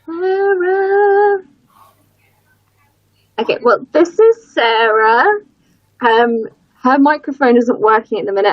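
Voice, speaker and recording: A woman's voice: a little high, no echo. A woman hosting a casual livestream panel over a video call, chatty and self-deprecating. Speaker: livestream host. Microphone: computer mic on a video call. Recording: dull, lo-fi.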